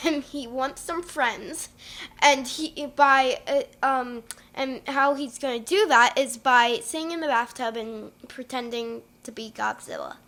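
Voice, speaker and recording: High-voiced child co-host, slow and halting. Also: female voice, fairly bright tone. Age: child, about ten. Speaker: young podcast co-host. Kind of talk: casual chat.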